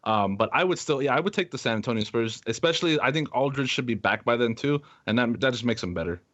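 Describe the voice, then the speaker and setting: A man talks casually as a sports podcast co-host. His voice sits at a middle pitch, and the audio is slightly dull and lo-fi. The delivery is conversational betting chat. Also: expressive, fast, a bit bright.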